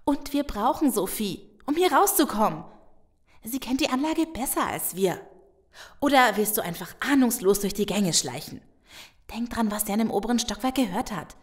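An actress playing a careful, caring survivor in an audio drama, reasoning and reassuring. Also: female voice, on the high side, lively intonation, moderate pace, lightly acted. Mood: insistent. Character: careful, caring female survivor tending wounded. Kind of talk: German audio drama acting.

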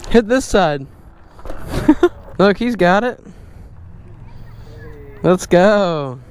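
A man's voice: high voice, very slow, a little hiss or hum. A man vlogging a dirt-bike ride outdoors, casually chatting, laughing and hyping up his riding friends. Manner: excited, laughing, hypes up riders. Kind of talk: casual ride vlog chat. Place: outdoors on trails and hills.

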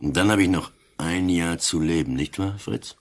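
Very deep, husky old inspector. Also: male voice, normal speed. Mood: stoic, resigned. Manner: dry, terse, probing. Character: ailing old police inspector, wry, stoic, inscrutable. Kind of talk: German-language radio drama acting.

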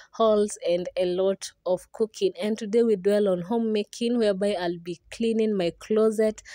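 Young woman vlogger, chatty and viewer-facing, mellow tone, dry close voice-over. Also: medium pitch, no room sound. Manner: friendly, chatty, addresses viewers directly. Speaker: homemaking vlogger. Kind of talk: voice-over narration for a cleaning vlog.

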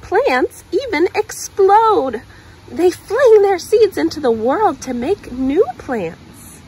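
A woman in her thirties hosting a children's story time, reading a picture book aloud outdoors with expressive, deliberate emphasis on words. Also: very high-pitched, rough recording. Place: outdoors on grass. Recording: clear outdoor ambient noise.

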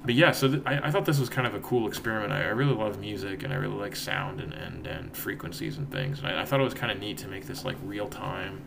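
A young man with a raspy voice, casually walking through code in a home screen-share tutorial, rambling and correcting himself, with guitar notes behind him. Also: fairly low pitch, quick pace, few pauses, noisy background. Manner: rambling, self-correcting, relaxed. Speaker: coding tutorial host. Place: home room, indoors. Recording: guitar playing in background. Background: guitar plucks.

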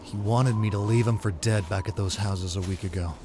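A man's voice: lowish voice, fairly even intonation, a little fast, noisy background, natural voice. A man voicing a tense, decisive survivor leader and protective father in a comic dub. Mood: grave, uneasy. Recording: loud music bed under the voice.

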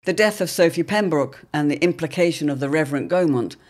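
A man narrating an audio drama serial in a measured, portentous, dramatic storytelling style. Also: fairly high pitch. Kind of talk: dramatic scripted narration, old radio serial. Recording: clear background ambient noise.

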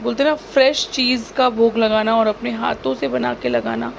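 Indian woman w/ a cold, sore raspy throat, slightly high voice, chatty recipe host, noisy home kitchen recording. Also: very animated, normal speed. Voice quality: mixes Hindi and English. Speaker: cooking channel host. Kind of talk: casual recipe demonstration voice-over. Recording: home video with noticeable background noise.

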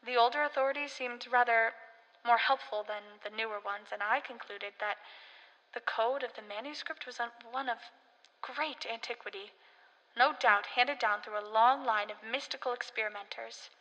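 An actress playing a grave, learned scholar narrating a horror radio drama in formal, deliberate literary language. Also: female voice, on the high side, pushed and bright. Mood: studious, intent. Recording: reverberant hall-like echo.

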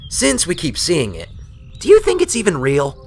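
A man's voice: on the high side, huge pitch swings, normal speed, soft background music, voice pushed up. A man voicing a brash, grudging young female pegasus pony adventurer in a narrated story, blunt in manner. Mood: curious, blunt. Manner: blunt, grumbling.

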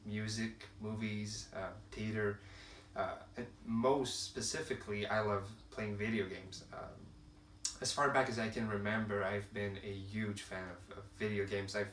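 A man's voice: on the low side, steady tone, a little slow, a bit bright. Hesitant young man with a Quebec French accent. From Quebec, Canada. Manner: hesitant, many fillers and restarts, searching for words. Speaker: channel host. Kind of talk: casual talk to camera. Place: room at home with shelves of films and games. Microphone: camera at a distance. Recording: roomy, distant camera sound, faint hiss.

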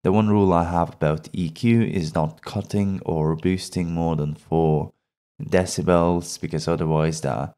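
Slow, deep-voiced male tutorial presenter. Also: mellow. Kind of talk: instructional screen-recording voice-over.

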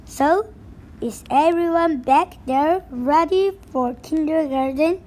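A blustering storybook pirate captain who now drives a bus, put on by a woman reading aloud. The voice sits high, with a slow, drawn-out delivery thick with pirate slang and growled arrs. It is boastful on top and easily rattled underneath. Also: warm tone. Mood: hearty.

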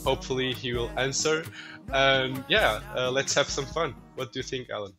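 A man's voice: mid-range voice, normal speed, bright, forceful tone, soft background music. A young man hosting a podcast over a video call from a room at home, eager and informal. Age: young adult. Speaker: podcast host. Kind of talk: casual interview hosting. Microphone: video call earbuds.

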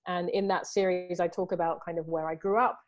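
A woman in her thirties, chatting as a guest on a video call from a room at home. Her voice sits a little low for a woman, and she talks in a loose, animated way, leaning on "you know" and "like" as she goes. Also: fairly quick. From Southeast London, England. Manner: animated, gestures a lot, many fillers like "you know". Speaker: artist guest. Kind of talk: casual interview over video call. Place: home room with books and artwork behind. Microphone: video call, earphones.

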